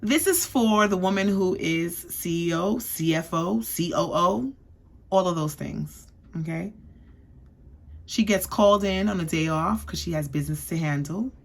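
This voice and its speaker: A woman's voice: on the low side, unhurried, vocal fry. A young woman from the East Coast giving a chatty, sassy perfume review, often checking in with "okay?". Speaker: beauty vlogger.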